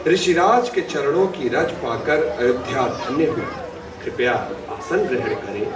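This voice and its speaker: A man's voice: mid-range voice, expressive, a little slow, crowd noise, lightly acted. Man acting a noble, anguished king, distant and echoing. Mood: humble, reverent. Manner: formal, reverent, solemn declamation. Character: noble, anguished king and doting father, deferential to sages. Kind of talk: theatrical Hindi mythological audio drama. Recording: roomy distant playback with music behind.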